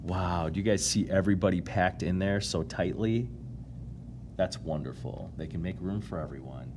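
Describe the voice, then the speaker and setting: A man reading a children's picture book aloud in an expressive, playful way, drawing out key words and chatting to his young listeners. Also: low-pitched, moderate pace, background noise. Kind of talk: animated read-aloud to children, with asides to listeners.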